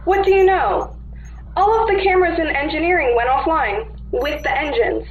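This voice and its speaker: A woman's voice: high voice, moderate pace, natural voice. A woman playing a feisty, sarcastic young engineer in a sci-fi radio play, with a wry, emphatic delivery. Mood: exasperated. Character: feisty, sarcastic girl engineer on a colony ship. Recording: dull, echoey room recording.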